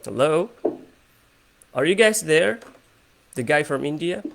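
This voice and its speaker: A man's voice: a little high, expressive, slow pace, gravelly, a bit bright, slight background noise. A man with an Indonesian accent, hosting a casual English conversation practice on a video call, hesitant and full of fillers. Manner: hesitant, many fillers and restarts.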